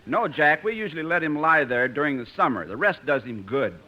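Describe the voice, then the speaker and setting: A man's voice: fairly high pitch, animated, a little fast, close to an everyday voice. An American man playing a dry-witted, cheerful bandleader in 1950s radio comedy, delivering jokes matter-of-factly. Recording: muffled 1950s radio recording.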